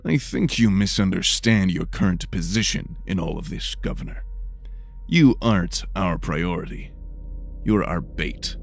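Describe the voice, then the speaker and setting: A man's voice: low voice, lively intonation, unhurried, vocal fry, full character voice. A man voicing a cool, wry, world-weary detective in a fantasy audio drama, speaking tersely. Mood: cool, firm.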